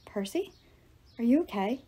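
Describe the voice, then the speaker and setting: Teenage girl seer played by a woman: solemn, resolute, soft, measured, storybook fantasy. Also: fairly high pitch, animated, breathy edge. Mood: weak, earnest. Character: earnest, resolute teenage girl turned oracle.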